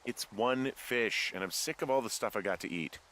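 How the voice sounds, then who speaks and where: A man's voice: on the low side, normal speed, a bit bright, lightly acted, low-quality recording. A man with an American accent playing a sardonic, reluctant fire lookout in a video game, in a dry, understated everyday voice. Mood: grumbling, sardonic. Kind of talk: naturalistic video game dialogue.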